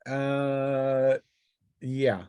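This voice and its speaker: A man runs a tabletop role-playing game as game master. His voice is average in pitch and casual, moving between rules talk and narration. The sound is dry, with little room echo. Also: monotone, drawn-out words, mellow, clean tone.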